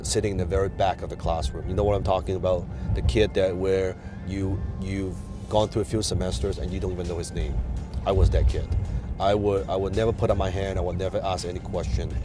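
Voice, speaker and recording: A man from Hong Kong whose first language is Chinese, hosting a casual advice talk and telling his story with many fillers and restarts. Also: deep voice. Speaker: host. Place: in a car.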